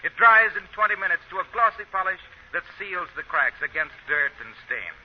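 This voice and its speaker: A man's voice: sits high, lively intonation, brisk, highs cut off. A man reading a 1930s radio advertisement as a polished, salesmanlike announcer. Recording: noisy, band-limited 1930s radio recording.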